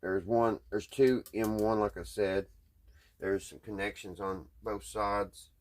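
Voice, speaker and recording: A man's voice: fairly low pitch, fairly even intonation, slow. A man with a Southern US accent giving casual, hands-on repair commentary in a folksy, hesitant way, thinking aloud as he goes. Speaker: vlogger, radio restoration hobbyist.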